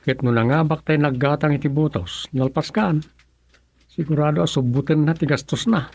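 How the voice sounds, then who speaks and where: A man acting an opinionated townsman in a radio comedy drama, with an Ilocano accent and a gravelly, animated, colloquial delivery. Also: on the high side, normal speed.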